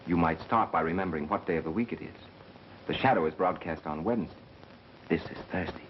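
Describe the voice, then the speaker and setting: An actor playing a clever, dry, self-assured amateur sleuth with wry retorts, in a 1930s mystery film. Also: male voice, low voice, lightly acted. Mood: wry, probing. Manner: wry, brisk deductive retorts. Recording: muffled, hissy 1930s film soundtrack.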